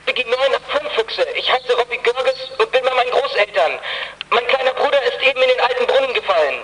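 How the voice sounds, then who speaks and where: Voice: mid-range voice, fast talker, fairly bright tone. An actor playing an anxious young boy in a German children's radio play, calling for help over CB radio. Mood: urgent, worried. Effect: CB radio transmission. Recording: noisy CB radio transmission.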